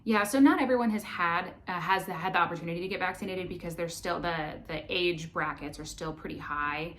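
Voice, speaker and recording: Woman vlogger, lowish voice, rambling casual chat, lots of likes and ums. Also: brisk, fairly bright tone, a little hiss or hum. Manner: chatty, lots of um and like, restarts. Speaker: vlog co-host.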